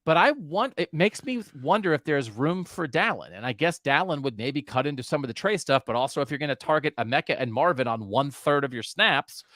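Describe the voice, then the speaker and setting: A man hosting a sports podcast, talking casually in an animated way and asking his co-host questions. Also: a little high, a little fast, few pauses, no room sound, studio quality.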